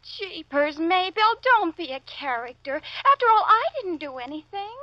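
A woman's voice: very high voice, animated, moderate pace, no echo. An actress with an American accent playing an eager, pleading, slangy teenage girl in a 1940s radio play. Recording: muffled vintage radio recording.

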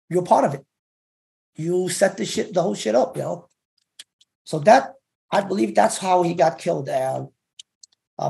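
A middle-aged man with a Hong Kong-tinged accent, telling his story haltingly with many fillers as an interview guest over a video call. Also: fairly high pitch, gravelly, warm tone. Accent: Hong Kong-influenced. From United States. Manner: halting, many fillers and restarts, gestures while talking. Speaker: interview guest, former gang member. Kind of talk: casual storytelling. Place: room indoors. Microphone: video call webcam.

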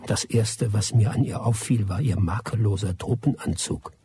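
An actor in a radio play playing a world-weary, sceptical middle-aged expatriate trader, measured and dry, with a husky voice. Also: male voice, lowish voice, mellow. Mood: reflective. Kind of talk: radio play narration and dialogue.

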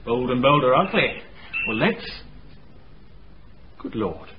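An actor playing a genteel, witty gentleman telling his story in a radio drama, in a wry, wordy, polite manner. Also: mid-range voice, lively intonation, slow. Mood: playful, bold. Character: genteel, witty gentleman recounting his eerie castle stay. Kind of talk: radio drama narration and acting. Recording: dull radio recording, faint noise.

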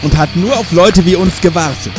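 A man's voice: sits high, brisk, natural voice, low-quality recording. A man playing a squeamish, joking camper in a horror-comedy audio play. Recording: music behind the voice.

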